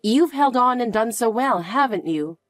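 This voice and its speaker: A woman playing a calm, protective mother who speaks softly and reassuringly to her daughter. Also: fairly high pitch, dry recording, faint music behind, natural voice. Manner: soft, slow, reassuring. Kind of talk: dramatic dialogue.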